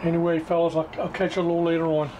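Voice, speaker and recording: A man with a slightly high-pitched voice talks his way through a repair in a casual, unscripted way. His delivery is halting, plainspoken and folksy. The homemade recording carries some noise behind him. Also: flat delivery. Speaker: hobbyist radio restorer hosting a repair video. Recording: clearly noisy amateur recording.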